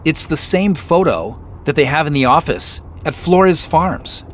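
An actor playing an inquisitive, slightly sheepish amateur sleuth in a naturalistic audio drama, talking in an easygoing, conversational way. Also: male voice, a little high, lively intonation, a little slow, a bit bright. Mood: quietly excited. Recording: faint vehicle noise behind.

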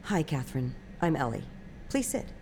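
An actress playing a calm, patient female therapist, speaking in measured, natural audio drama dialogue. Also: very deep voice, creaky, a little hiss or hum, exaggerated voice. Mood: calm, probing.

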